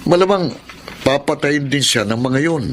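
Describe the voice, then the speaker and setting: A man's voice: on the high side, animated, moderate pace, slight background noise, lightly acted. A man playing a blunt, persistent male suitor in a Filipino radio drama. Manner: blunt, earnest.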